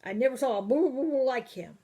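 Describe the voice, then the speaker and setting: An indignant father rants and sputters in a raised, strained voice, as a woman reading a storybook puts him on. He sounds loud and pushed high in pitch. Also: expressive, fast talker. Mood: furious. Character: angry blustering father, grown man.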